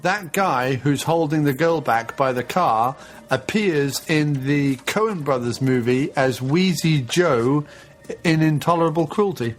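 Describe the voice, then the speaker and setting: A man's voice: a little high, lively intonation, measured pace, soft background music. Joking man riffing on a movie. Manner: joking, riffing, mock-quoting characters. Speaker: podcast co-host. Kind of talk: casual movie commentary with jokey put-on voices.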